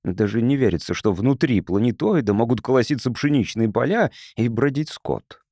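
Guy playing a curious polite sociologist in an audiobook, lively pitch, dry recording. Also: normal speed, dry recording. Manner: polite, inquisitive. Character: courteous, curious male visiting sociologist. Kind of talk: audiobook character reading.

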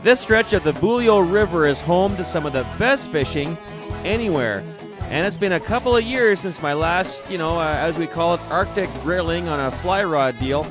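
A man's voice: fairly high pitch, lively intonation, normal speed. A man hosting a fishing show, narrating in a jokey, hyped voice-over. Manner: jokey, hyped, keeps calling things rugged. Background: guitar music.

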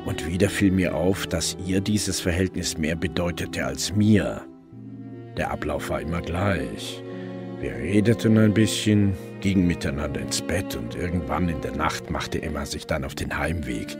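A reflective male police detective, tells his case in a very low voice. It is scripted crime drama narration. Prominent music plays behind him. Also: unhurried. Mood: sober, reflective.

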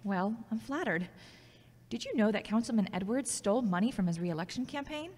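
A woman playing a confident, ambitious radio journalist with a teasing, warm manner in an audio drama. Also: medium pitch, expressive, fairly quick, lightly acted. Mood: proud, probing. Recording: echoey hall-like reverb.

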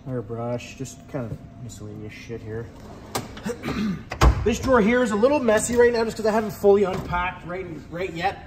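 A man's voice: on the high side, darkish tone, a little hiss or hum. A young man, a heavy diesel mechanic casually walking through his tool box in a shop garage, rambling and swearing easily.

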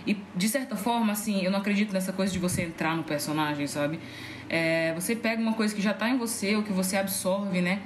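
A woman's voice: medium pitch, quick pace. A woman chatting casually and informally as a podcast guest, telling stories with plenty of slang. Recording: roomy echo, faint background noise.

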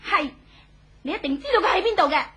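A volatile, jealous wife in an old radio play. Her voice is extremely high and swings widely, shrill and combative, on a noisy tape. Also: lively intonation, quick pace. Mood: taunting, demanding. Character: jealous, volatile married woman, desperate and combative. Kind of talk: 1970s radio drama acting.

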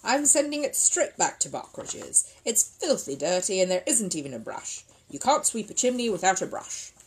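A cross man of the house from a storybook, acted out by a woman reader. He grumbles and stresses his words in exasperation, his pitch moving up and down a lot. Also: very animated, moderate pace, slight background noise, lightly acted, low-quality recording. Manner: grumbling, emphatic.

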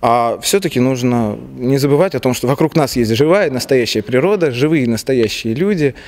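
Earnest young man, medium-pitched voice. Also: expressive, normal speed, a little mellow, a little hiss or hum. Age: 20s. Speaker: theatre actor. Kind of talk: spontaneous TV interview. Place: empty theatre auditorium, handheld reporter's mic.

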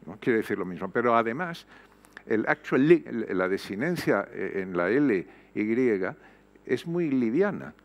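A man's voice: medium pitch, big pitch swings, unhurried. An older man speaking as a panel guest on an auditorium stage, reflective and emphatic, with frequent hesitations. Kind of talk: panel discussion. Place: auditorium stage before an audience. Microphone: headset mic.

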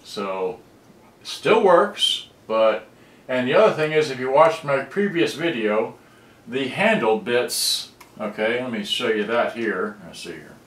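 A man casually walking through a cassette deck repair, rambling with halting restarts. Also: medium pitch, expressive, a bit bright. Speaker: electronics repair hobbyist. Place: room, filming photos on a monitor. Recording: roomy, distant camera audio.

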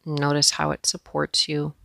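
Gravelly-edged woman guiding a meditation. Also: low-pitched, monotone, no echo. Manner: gentle, unhurried, with pauses. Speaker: yoga and meditation instructor. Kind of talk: guided meditation.

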